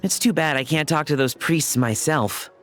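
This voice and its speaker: A man voicing an inquisitive, scholarly character in an animated game. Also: on the high side, normal speed, natural voice. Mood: intrigued.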